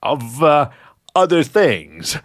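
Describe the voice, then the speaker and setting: A man's voice: a little high, big pitch swings, unhurried, a bit bright, pitched above the performer's own voice, full character voice. A man voicing a cheeky, flirty male fox who gets defensive and stammers playfully in an audio story. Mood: embarrassed. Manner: stammering, hesitant when embarrassed, playful.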